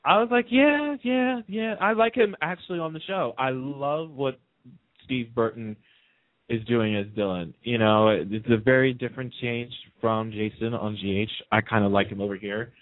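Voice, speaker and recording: A man's voice: mid-range voice, expressive, moderate pace. A man hosting a casual, opinionated radio chat about television, speaking emphatically and repeating phrases for stress. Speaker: radio show host. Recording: narrow, phone-like radio line.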